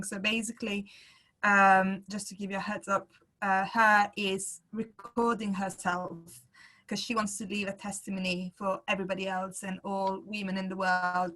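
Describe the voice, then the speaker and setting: A woman playwright explaining her work casually, in a halting, apologetic manner. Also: mid-range voice, pushed and bright. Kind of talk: casual explaining at an online discussion.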